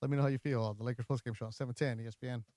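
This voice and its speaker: Energetic male sports radio host. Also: mid-range voice, rapid-fire, no echo, clean studio sound. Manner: energetic, conversational, playful asides.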